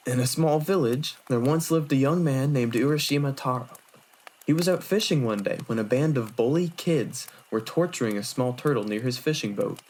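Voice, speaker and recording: A man's voice: mid-range voice, darkish tone. Man acting as Miroku, a theatrical flirtatious storyteller. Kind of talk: fan audio drama acting.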